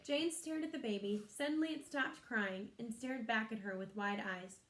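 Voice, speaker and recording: A woman's voice: fairly high pitch, normal speed, a bit bright. A woman reading a children's story aloud expressively, like a teacher, in an echoey room.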